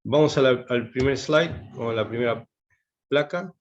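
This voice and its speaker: A man, talking at a middle pitch as he gives an online class. He hesitates often and restarts his sentences. The sound is dull and lo-fi, like video call audio. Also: normal speed. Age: 50s. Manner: hesitant, many fillers and restarts. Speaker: taekwondo instructor teaching English.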